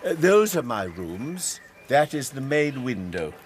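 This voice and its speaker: An actor playing a polite, anxious Victorian college don with an English accent and a formal, courteous manner in a radio drama. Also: male voice, mid-range voice, sing-song, a little slow, slight background noise. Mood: courteous, defensive. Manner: formal, courteous, slightly flustered. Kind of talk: BBC radio drama acting.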